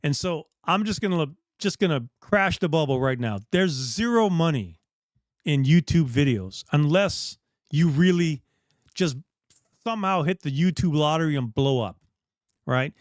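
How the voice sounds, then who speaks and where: A man's voice: on the high side, lively intonation, a little slow, dry recording. Chatty man, dry close sound. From Bahamas. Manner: rambling, candid, with restarts. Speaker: dive shop host. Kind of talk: casual live chat.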